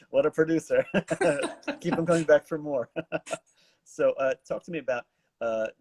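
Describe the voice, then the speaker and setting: A man hosting a casual interview, asking wordy, roundabout questions in a halting way. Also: on the high side, lively intonation, breathy edge, no echo, clean studio sound. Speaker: talk show host, interviewer.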